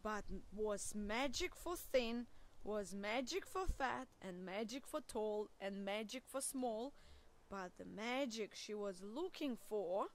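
A woman reading a picture book aloud to preschoolers, deliberate and expressive, as if speaking to young listeners. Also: mid-range voice. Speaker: children's storyteller.

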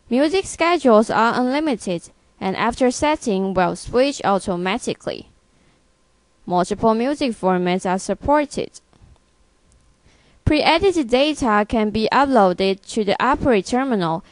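A woman presenting a product in scripted narration, reading in a measured, item-by-item way. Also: mid-range voice, a little mellow, dry recording.

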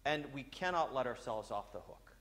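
A man's voice: mid-range voice, lively intonation, moderate pace, a bit bright. A man in his thirties, a priest giving a sermon in a large church, speaking conversationally with frequent "uh" fillers. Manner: gestures broadly while walking about.

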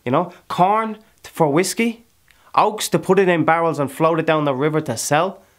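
A fairly low-pitched Irish man, hardened and restless as a male actor plays him in a theatrical monologue. He is a proud, brooding settler with blood on his hands. He speaks deliberately, leaving pauses and putting questions to his listener. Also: fairly even intonation, natural voice. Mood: impassioned, anguished.

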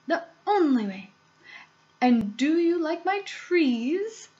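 A woman's voice: medium pitch, unhurried, vocal fry, highs cut off. A woman voicing an eccentric, exuberant male candy factory owner, boastful and theatrical, in a storybook reading. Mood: gleeful, showy. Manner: theatrical, emphatic, delighted exclamations.

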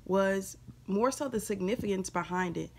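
Student reflection; woman from Houston, gravelly texture, conversational. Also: medium pitch, normal speed. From Houston, Texas. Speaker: student documentary maker. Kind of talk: reflective testimonial.

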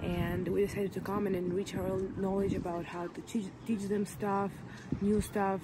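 A woman's voice: medium pitch, little pitch movement, moderate pace, very noisy. A young woman vlogging casually about a dressage event, chatty with hesitant fillers, filmed on a handheld phone. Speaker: vlogger for a horse training team. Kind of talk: casual vlog narration. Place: outdoors at an equestrian venue and indoors.

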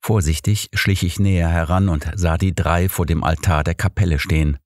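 A man's voice: deep voice, steady tone, normal speed, no room sound, studio quality. A man reading scripted narration of a detective story as an audiobook narrator.

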